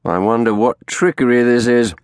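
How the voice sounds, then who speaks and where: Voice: medium pitch, big pitch swings, moderate pace, no echo, clean studio sound, voice pushed up. A man voicing a sneering, arrogant lawyer in a historical audiobook, with a mocking, theatrical manner. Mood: scoffing.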